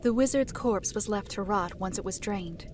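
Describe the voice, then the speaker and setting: A woman playing a solemn storyteller, narrating dark fantasy lore in a formal, measured way. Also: mid-range voice, a little hiss or hum, close to an everyday voice. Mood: grave.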